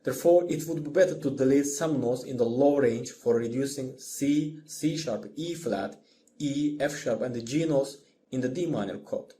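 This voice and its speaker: A man who is a non-native English speaker narrates a scripted music lesson as the instructor, in a deliberate, explanatory manner. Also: mid-range voice, dark tone. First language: not English.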